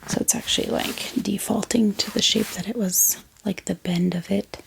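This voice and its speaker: A woman speaking in a breathy whisper, gently and unhurriedly, in a casual ASMR show-and-tell style. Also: medium pitch, fairly even intonation, poor audio. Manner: gentle, unhurried, hesitant pauses. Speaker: ASMR book haul vlogger. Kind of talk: soft-spoken whispered ASMR, casual and unscripted.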